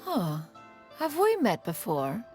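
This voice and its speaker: A woman's voice: medium pitch, huge pitch swings, slow, a little mellow. A woman voicing a proud, refined immortal in a video game, speaking in a pompous, archaic way. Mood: intrigued, gracious. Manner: pompous, archaic, calls herself "one". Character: proud, refined immortal crane adeptus in lady's guise. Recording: music playing behind.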